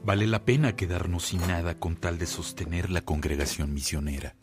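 An actor playing a devoted, self-sacrificing priest in a radio drama, earnest and expressive, with a Mexican accent. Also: male voice, low voice, normal speed, music in the background. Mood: earnest, approving.

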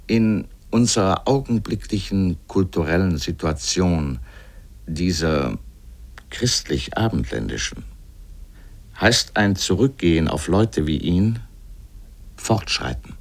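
Deep-voiced ironic, erudite radio essayist. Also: male voice, expressive, takes it slowly, heavily acted. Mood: ironic, emphatic. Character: erudite, polemical male literary essayist with biting irony. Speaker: speaker in a literary radio essay. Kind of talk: scripted literary radio essay narration.

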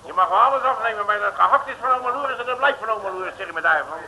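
An actor playing a brash, jovial Dutch detective with colloquial, wisecracking bluster in an old radio comedy serial. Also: male voice, high voice, animated, racing, bright, forceful tone, heavily acted. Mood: indignant, blustering. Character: brash, jovial, scrappy male detective, street-smart joker. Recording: noisy old radio recording.

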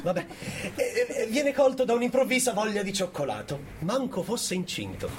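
A man's voice: sits high, lively intonation, normal speed. A young man telling a comic tale in a sardonic, theatrical way, full of mock-confiding asides. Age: young adult. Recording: loud background noise and ambience.

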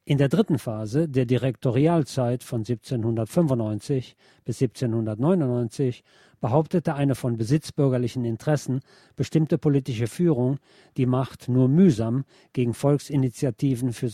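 A man reads a scripted history feature as presenter, in a formal, measured way. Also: medium pitch, warm tone, no echo, clean studio sound. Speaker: radio show presenter.

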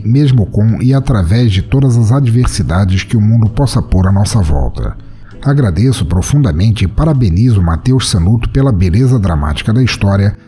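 A Brazilian man hosting a podcast and narrating scripted fiction in an expressive, theatrical way. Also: lowish voice. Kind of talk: dramatic scripted short-story narration.

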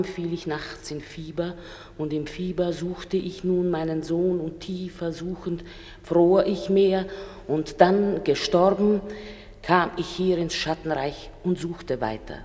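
A woman playing a dead fishwife and grieving mother in a theatrical verse drama, solemn, measured and plain-spoken. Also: sits low, mellow. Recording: long hall reverb.

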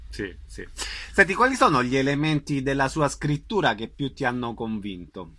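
A man's voice: medium pitch, big pitch swings, moderate pace, bright, forceful tone, no room sound. A man asking conversational questions as a radio interviewer.

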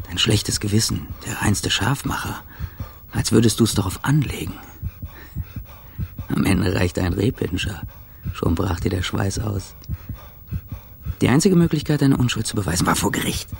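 A man's voice: lowish voice, expressive, normal speed, gravelly, long pauses, a little hiss or hum. An actor playing a haunted, paranoid man brooding on his fear in terse fragments, in a radio drama. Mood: brooding, tense.

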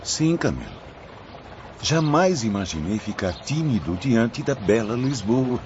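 A man's voice: medium pitch, very animated, unhurried, a little mellow, slight background noise. An actor in a radio drama playing a timid, regretful, homesick male spirit with a wistful manner. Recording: muffled lo-fi, faint background ambience.

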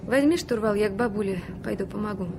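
An actress playing a spirited, pert young granddaughter aboard a river boat in a Soviet radio play. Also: female voice, mid-range voice, quick pace, close to an everyday voice. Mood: curious. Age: young adult. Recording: noisy old mono radio recording.

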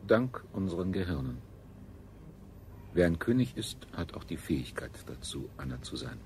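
A grandiose male ruler of a dystopian future in a radio play. The voice is very deep and moves widely in pitch, with pompous, theatrical solemnity. The old recording is clearly noisy. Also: very animated, normal speed, a little mellow. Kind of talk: 1970s German radio drama acting.

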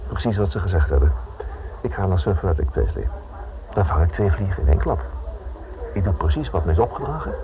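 An uneasy, determined writer with a low voice that moves in lively swings. He speaks hesitantly, in radio drama style, on a muffled, phone-like old recording. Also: quick pace, pauses often, noisy background. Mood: uneasy, resolute. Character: anxious male writer, husband and father, cautious but determined. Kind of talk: Dutch radio play acting.

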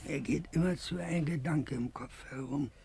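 An actor playing a troubled, brooding ordinary man in a radio play, confiding in a husky, breathy voice. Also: on the high side, moderate pace, a little mellow, a little hiss or hum. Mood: troubled, suspicious. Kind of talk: 1950s German radio play acting.

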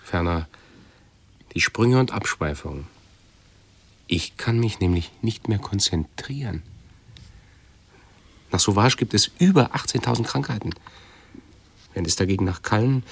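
A fussy, pedantic hypochondriac man in a radio play. His voice is low, creaky and husky, and he speaks haltingly, forever correcting his own words.

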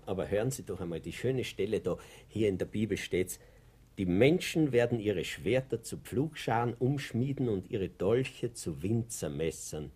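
A man's voice: lowish voice, expressive, normal speed, mellow, husky. An actor playing a humble, kindly older Viennese postman in a radio play, folksy and deferential, easily agitated. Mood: devout, reflective. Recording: vintage 1960 radio play recording.

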